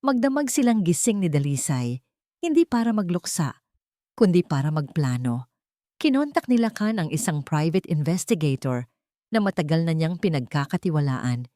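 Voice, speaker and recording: A woman's voice: low voice, big pitch swings, normal speed, dark tone, dry recording, clean studio sound. A woman narrating a scripted audio drama in a solemn, dramatic manner.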